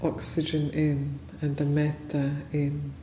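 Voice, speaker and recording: A woman leading a guided meditation as a teacher, speaking gently and unhurriedly in short phrases with long pauses. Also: low voice, completely flat delivery. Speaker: meditation teacher, Buddhist nun. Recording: roomy echo, faint background noise.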